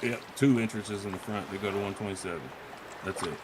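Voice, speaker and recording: A man's voice: fairly low pitch, fairly even intonation, fast talker. A man chatting casually on a live stream, blunt and prone to swearing. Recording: clearly noisy background.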